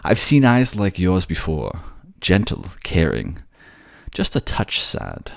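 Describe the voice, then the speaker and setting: A man's voice: sits low, wildly swooping pitch, slow pace, creaky, no echo, clean studio sound, full character voice. A man playing a suave, manipulative fantasy ruler who coaxes his captive in an intimate ASMR audio drama. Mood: tender teasing. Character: suave manipulative male water-folk ruler, soothing captor.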